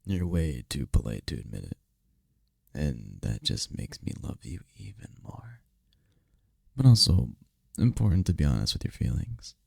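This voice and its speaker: Man voicing a deep, gravelly incubus boyfriend, teasing. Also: sing-song, darkish tone, no room sound, full character voice. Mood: gentle, coaxing. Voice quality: gravelly, breathy, husky low voice.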